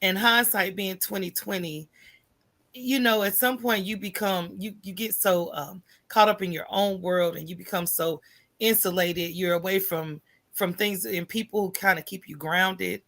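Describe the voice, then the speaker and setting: A woman's voice: medium pitch, moderate pace, a bit bright, dry recording. A middle-aged woman telling her own story as a podcast guest, candid and informal. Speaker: podcast guest, small business owner. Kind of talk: conversational personal storytelling.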